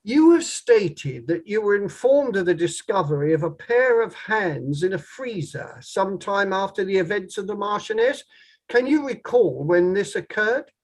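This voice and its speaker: A man playing a formal, probing barrister who questions in a measured way, in a table read of a courtroom play. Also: sits high, animated. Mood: pressing, formal. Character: probing male inquiry barrister, formal and methodical.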